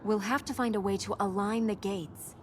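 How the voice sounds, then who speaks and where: A woman's voice: medium pitch, steady tone, moderate pace, natural voice. Woman acting a composed young princess, noisy ambience. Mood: determined, dry. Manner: composed, matter-of-fact, gently teasing. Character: earnest, dutiful young princess with dry wit. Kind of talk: video game dialogue acting. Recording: noisy game ambience behind voice.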